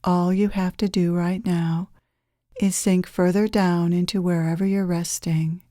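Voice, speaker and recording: A woman leading a guided meditation in a gentle, soothing, unhurried manner, leaving long pauses between phrases. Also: on the low side, flat delivery, no echo, clean studio sound. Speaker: guided meditation leader, channeler.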